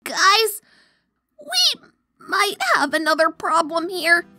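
A woman's voice: extremely high pitch, big pitch swings, slow, sharp tone, a little hiss or hum, full character voice. A woman voicing a brash, boastful young female pony in a fan cartoon. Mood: cocky. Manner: cocky, confident.

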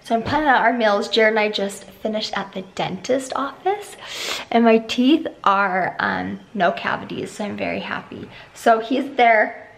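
A young American woman with a slightly hoarse voice, chatting casually to a handheld camera at home as a family vlogger. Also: mid-range voice, moderate pace. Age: young adult. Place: kitchen and living room at home. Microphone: camera held at arm's length.

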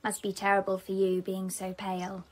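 An actress playing a jealous, petulant young wife in a radio drama. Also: female voice, medium pitch, monotone, normal speed, lightly acted. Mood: jealous, catty. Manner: petulant, cutting. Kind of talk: British radio drama acting.

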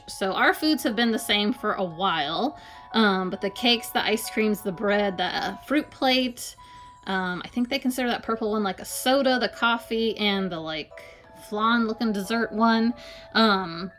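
Young adult woman, casual app reviewer, mid-pitched, halting filler-heavy delivery, faint background music. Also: fairly bright tone. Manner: hesitant, many ums and likes. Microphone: phone or camera filming a tablet screen.

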